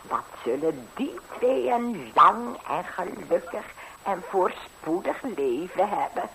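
An actress playing a doting, scolding old housekeeper, fussing, sighing and coaxing in a 1960s radio play. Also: female voice, fairly low pitch, big pitch swings, slow, a bit bright, full character voice. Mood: delighted, tender. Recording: noisy vintage 1960s radio recording.

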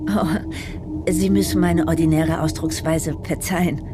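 A woman's voice: low voice, steady tone, measured pace, over music. A woman playing a servile, eager and apologetic airship stewardess in a radio play, polite and flustered. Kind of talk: German radio play dialogue.

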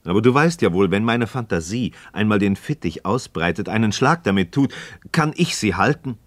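A disillusioned male idealist, acted by a man in a classic radio play, questions his friend with aggrieved passion. His low voice swings widely in melody, declamatory and rhetorical, in a very dry, close acoustic. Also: lively intonation, moderate pace, no echo, lightly acted. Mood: reproachful. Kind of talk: mid-century German radio play acting of philosophical dialogue.

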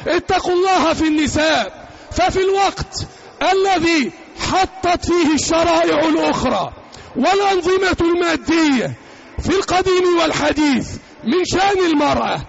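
A man delivering a religious sermon as loud, emphatic oratory, declaiming in short repeated phrases. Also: very high voice, animated, normal speed, creaky. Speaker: preacher delivering an Eid sermon. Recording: dull lo-fi sound, faint background noise.

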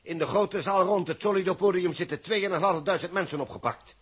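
A man's voice: high voice, a little fast, husky. An actor playing a worried, earnest male engineer in a 1960s radio play, speaking pressingly. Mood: urgent, pleading. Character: urgent male engineer, worried father of a crew member. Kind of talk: 1960s Dutch science fiction radio play acting.

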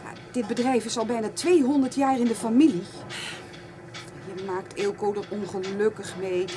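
A woman's voice: mid-range voice, fairly even intonation, moderate pace, mellow, lightly acted. An actress playing an insistent, protective farmer's wife and mother in a radio play, chatty in manner. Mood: pleading, insistent. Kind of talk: radio drama dialogue.

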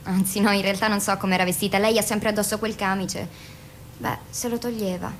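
An actress playing a bold, teasing female patient in a radio drama, probing and insinuating with deliberate pauses. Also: steady tone, lightly acted. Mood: provocative, insinuating. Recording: noisy radio recording with low rumble.

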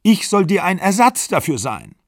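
Eine trotzige junge Frau, von einem männlichen Sprecher gelesen, hoch, den Tränen nahe und laut. Trockene, nahe Aufnahme ohne Hall. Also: female voice, on the low side, very animated, moderate pace, a little mellow, clean studio sound, pitched above the performer's own voice. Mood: tearful insistence. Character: young woman leaving her older lover, stubborn.

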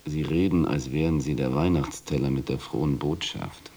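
A man's voice: a bass voice, fairly even intonation, normal speed, breathy edge, full character voice. An actor playing a surly male loner, curt, dismissive and scornful, in a radio drama. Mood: dismissive, irritated. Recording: loud background noise with clicks.

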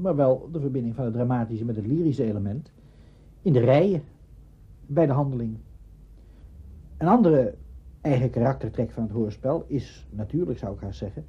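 Male interviewee, very husky, explaining in a scholarly way on a dull archival recording. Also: medium pitch.

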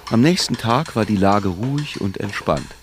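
A man narrating a literary radio drama in a measured, sober manner. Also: lowish voice, expressive, noisy background, lightly acted. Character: measured, literary narrator of a small-town tale.

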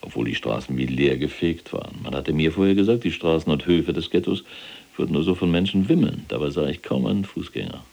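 Imprisoned Nazi general, radio drama, bottomless husky voice, proud, contemptuous, military bearing. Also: male voice, very deep voice, animated, brisk, mellow, heavily acted. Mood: cold, boastful. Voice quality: gravelly, husky. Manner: military, self-important, contemptuous. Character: imprisoned male SS general, proud, callous, boastful. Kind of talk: dramatized first-person war recollection.

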